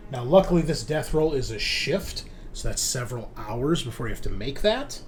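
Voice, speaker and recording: A man running a tabletop roleplaying game, narrating and explaining rules in a halting, think-aloud way. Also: medium pitch, lively intonation, faint music behind. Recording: clear background noise.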